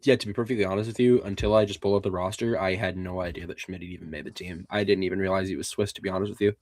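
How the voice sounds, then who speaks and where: Man leading a relaxed hockey podcast. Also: deep voice, very fast. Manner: relaxed, reads out lists and leads the segments. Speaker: podcast host. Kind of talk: casual sports chat.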